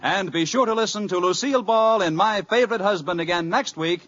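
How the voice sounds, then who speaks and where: A man's voice: high voice, brisk, a bit bright, no echo. A man announcing in 1940s network radio style, reading credits and sponsor copy in a polished, emphatic delivery. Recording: muffled old radio broadcast, music behind.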